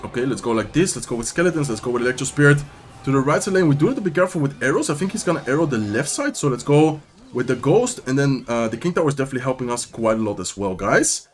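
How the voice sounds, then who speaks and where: Fast-talking upbeat young gaming commentator man. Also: medium pitch, sing-song. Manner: upbeat, talks through every move. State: losing his voice. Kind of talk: live gameplay commentary.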